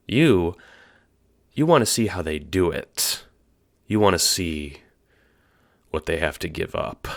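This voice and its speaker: Very deep-voiced, theatrical puppet-master narrator played by a man. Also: vocal fry, full character voice. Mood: gleeful, taunting. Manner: teasing, mock-cheerful, swooping between hushes and exclamations. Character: sly, theatrical male puppet-master narrator who toys with listeners. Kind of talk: theatrical audio drama narration. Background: music.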